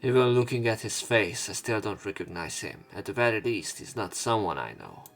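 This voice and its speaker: A man doing a let's play, reading a visual novel aloud with expressive dialogue and casual, blunt commentary. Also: lowish voice, normal speed. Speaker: let's player. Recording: game music behind voice. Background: game music.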